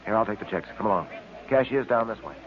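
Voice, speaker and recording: Actor as brisk, low-voiced radio detective. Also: male voice, expressive, natural voice. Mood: dry, firm. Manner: unflappable, dry, clipped. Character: calm, commanding adult male police detective. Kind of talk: 1940s radio acting. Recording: muffled old radio recording with hiss.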